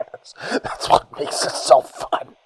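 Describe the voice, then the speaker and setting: An actor voicing a gloating, sadistic demonic entity in a hissing, menacing whisper for a horror audio drama. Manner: menacing, drawn-out, mocking.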